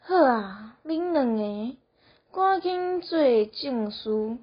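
A teenage girl playing a solemn, merciful bodhisattva with a measured, sighing manner in an amateur radio drama. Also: on the high side, animated, slightly rough, exaggerated voice. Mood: compassionate. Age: teens. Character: solemn, merciful bodhisattva, a slow-speaking deity. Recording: muffled, roomy lo-fi recording.

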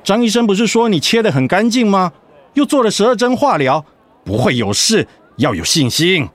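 A man's voice: high voice, sing-song, normal speed, no room sound. An actor playing a cheerful, doting middle-aged husband and father in a radio drama, playful and encouraging. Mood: upbeat, encouraging.

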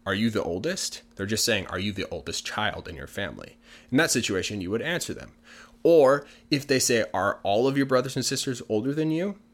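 Low-ish guy teaching english casually, native speaker, walks you through examples slow and patient. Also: fairly bright tone. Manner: patient, plain-spoken explaining with many examples. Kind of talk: casual explanatory lesson.

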